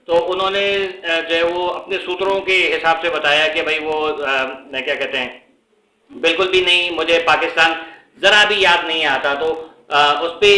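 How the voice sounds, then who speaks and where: A Pakistani man with an Urdu accent speaks in a fairly high, bright voice. He talks haltingly with many fillers and drops in English words now and then. The room rings with echo. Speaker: vlogger. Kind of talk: casual commentary vlog.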